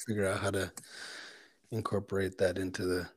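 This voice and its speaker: A man co-hosting a casual chat, talking in a halting, unhurried way. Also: sits low, level tone, husky, no echo. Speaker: podcast co-host.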